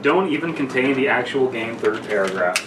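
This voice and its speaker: A young man talks loosely and a little drunkenly, tripping over words as he reads and banters. He sits away from the mic in an echoey room with clear background noise. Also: mid-range voice, expressive, distant mic. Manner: halting, stumbles over reading. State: tipsy. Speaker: podcast co-host reading a story aloud. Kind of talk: casual banter and story reading among friends.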